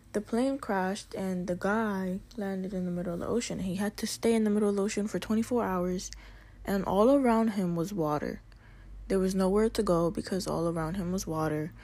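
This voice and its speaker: Chatty young woman with a mellow voice. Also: mid-range voice. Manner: chatty, describes things vividly. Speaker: host. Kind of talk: casual talk.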